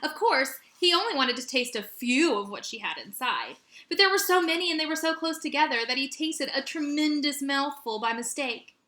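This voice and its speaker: A woman teacher reading a children's story aloud in an expressive, animated way with dramatic emphasis. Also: high voice, moderate pace. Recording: roomy echo.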